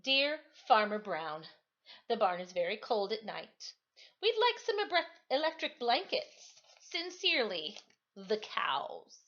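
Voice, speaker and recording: A woman's voice: a little high, sing-song, slow, a bit bright, full character voice. Lady reading out polite typing cows, prim letter voice, kinda muffled. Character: polite typing farm cows writing notes. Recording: slightly dull lo-fi sound.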